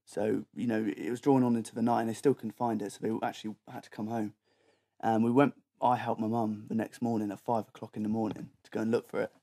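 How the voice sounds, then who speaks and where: A man's voice: fairly low pitch, little pitch movement, fast, gravelly, warm tone. A young adult British man telling an anecdote in a casual, slangy way, quoting his own thoughts as he goes.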